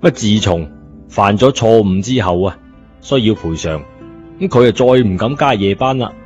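A man's voice: fairly low pitch, expressive, moderate pace, a little mellow. A man narrating a story in a colloquial scripted style, in a dull recording. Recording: dull lo-fi audio, music behind.

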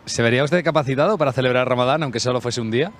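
A man's voice: mid-range voice, very fast, a little hiss or hum. A man interviewing passers-by on a city street with a handheld mic, asking formal questions. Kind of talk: vox pop street questions.

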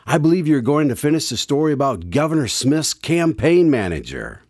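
A man's voice: a little high, very animated, normal speed, a little mellow, dry recording, clean studio sound. A man playing a cheerful, courteous radio announcer introducing and chatting in a radio drama. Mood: friendly.

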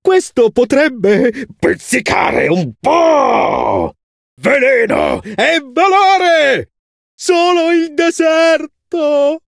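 A man playing a manic, gloating scorpion showman villain in a video game, with a gravelly voice, an Italian accent and a theatrical, drawn-out delivery. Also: very high voice, big pitch swings, dry recording, studio quality, heavily acted. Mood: manic, triumphant. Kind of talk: video game character voice lines.

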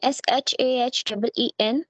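A woman's voice: on the high side, fairly even intonation, moderate pace, a bit bright, no room sound. A woman with a Pakistani accent, answering practice test questions in hesitant, simple beginner English. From Lahore, Pakistan. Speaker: English learner, test candidate. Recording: dull, dry online call audio.

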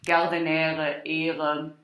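Slow, halting woman lecturer, echoey room. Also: sits low, monotone, fairly bright tone. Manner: halting, thinking aloud, explanatory. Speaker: university lecturer. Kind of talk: academic lecture with slides. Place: indoor room with echo.

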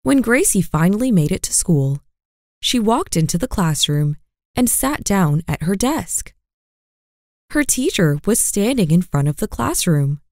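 A woman reading a children's story aloud as a storyteller, slow and expressive. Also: medium pitch, dry recording, studio quality.

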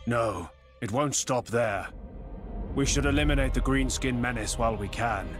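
An actor playing a stern older high admiral in a sci-fi game, speaking in a formal, resolute, grandiose way. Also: male voice, normal speed, over music, close to an everyday voice. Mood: grave, measured. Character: commanding stern older male high admiral of a space fleet. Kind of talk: dramatic video game voice acting.

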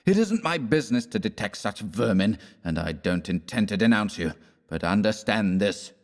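A man's voice: lowish voice, normal speed, a little mellow, close to an everyday voice. A man voicing an angry young American man in a storybook, blunt and contemptuous, sneering and growling threats. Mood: menacing. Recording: long reverberant room echo.

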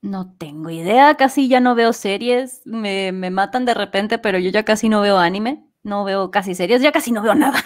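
A woman's voice: medium pitch, normal speed. A woman with a Latin American Spanish accent chatting playfully as an interview guest. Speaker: guest, amateur voice actress. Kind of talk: casual interview chat.

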